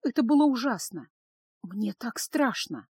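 A woman's voice: fairly high pitch, lively intonation, normal speed, darkish tone, no room sound, voice pushed up. A woman voicing a frightened, homesick eighteen-year-old captive girl in an audiobook, pleading and sobbing. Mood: tearful, scared.

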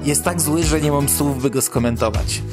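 A man's voice: fairly high pitch, moderate pace, over loud music. A Polish man hosting a podcast, commenting on news in a conversational, wry way. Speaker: podcast host.

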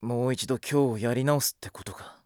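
A man's voice: mid-range voice, fairly quick, no echo, clean studio sound, close to an everyday voice. A man playing a kind-hearted, casual teenage schoolboy in an anime audio drama, with blunt, informal speech. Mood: determined, curious.

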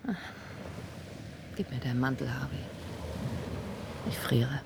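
A woman's voice: extremely low pitch, little pitch movement, slow, creaky, background noise, exaggerated voice. An actress playing a forthright, affectionate but wryly impatient woman in a German radio drama, with a direct, probing, dryly teasing manner. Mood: weary, sardonic.

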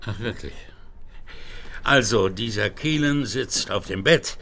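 Radio-play police inspector: cocksure, low, gravelly, animated, faint noise behind. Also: male voice, slow, exaggerated voice. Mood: confident, speculating. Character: cocksure male police inspector, bluntly matter-of-fact. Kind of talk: German radio play acting.

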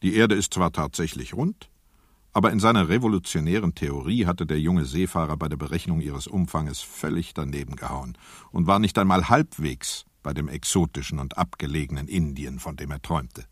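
A man narrating a radio drama as a storyteller, in a measured, scripted literary style with an ironic edge. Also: rumbling low, husky, no echo, studio quality. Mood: measured, wry. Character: sober, wry storyteller narrating a seafarer's fate.

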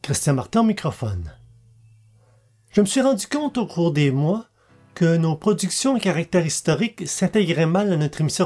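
A man's voice: a little high, darkish tone. A man giving a scripted podcast introduction as its host.

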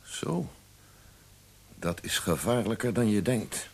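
Stern doctor, low raspy voice, grave, blunt, worried, radio play. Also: male voice, expressive, slow, full character voice. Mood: grave warning. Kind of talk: radio drama acting.

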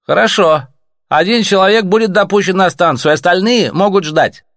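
A man's voice: high-pitched, normal speed, fairly bright tone, dry recording, studio quality, voice pushed up. Brief: cool bureaucratic officer, courteous but icy, put on by a male narrator. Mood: curt. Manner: aloof, formal, coldly courteous.

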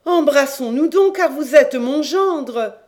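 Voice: mid-range voice, expressive, takes it slowly, darkish tone, pitched above the performer's own voice. A reader voicing an older queen who is shrewd and scolding toward her husband. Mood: delighted.

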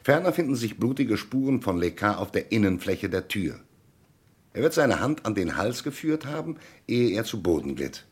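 Shrewd police commissioner, low husky voice, swinging intonation, methodical, radio crime drama. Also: male voice, big pitch swings, normal speed. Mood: insistent, deductive. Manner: methodical, probing, declamatory interrogation.

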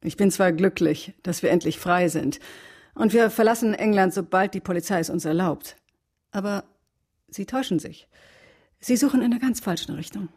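A mellow, husky-voiced wife in a radio crime drama. She is cool and devoted, speaking with earnest, controlled intensity. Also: medium pitch, normal speed. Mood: earnest, intense.

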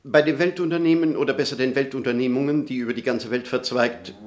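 An actor playing a formal, businesslike and impatient corporate emissary in a German radio play. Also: male voice, mid-range voice, quick pace, lightly acted. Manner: formal, precise, businesslike, pressing. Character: brisk, formal, impatient male corporate emissary from New York.

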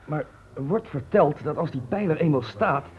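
Men playing tired, hungry workmen who complain about their endless labor in an old radio play. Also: male voice, fairly high pitch, animated, moderate pace, lo-fi sound, slight background noise, close to an everyday voice. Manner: complaining, worn out. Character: tired, hungry male temple carpenters.

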